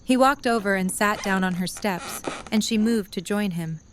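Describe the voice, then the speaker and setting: A woman narrator with a medium-pitched voice reads scripted prose. She tells the story between the lines of an audio drama. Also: moderate pace, slight background noise.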